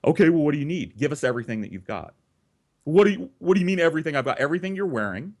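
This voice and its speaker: A man's voice: on the high side, rapid-fire. A man telling a story casually and comedically, swearing freely and acting out both sides of conversations. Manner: profane, animated re-enacting of conversations. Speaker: storyteller and host.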